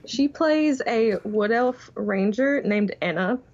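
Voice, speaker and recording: A woman's voice: on the high side, expressive, slow pace, a little mellow. A nineteen-year-old woman chatting casually as a podcast guest, calling in remotely. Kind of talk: casual chat. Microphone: remote call. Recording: muffled remote call audio.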